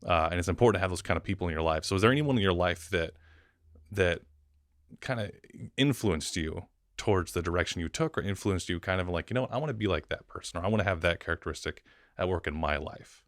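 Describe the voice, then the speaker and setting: A man's voice: sits low, lively intonation, fast talker, fairly bright tone, no room sound, clean studio sound. A man co-hosting a podcast, chatting in an informal, reflective way as he interviews. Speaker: podcast co-host. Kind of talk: casual interview chat.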